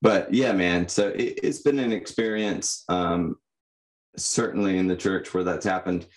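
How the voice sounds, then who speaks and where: A young man giving reflective, personal answers as a podcast guest, in a halting, thoughtful manner. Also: deep voice, fairly even intonation. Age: young adult. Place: indoor room, on a video call. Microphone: condenser microphone.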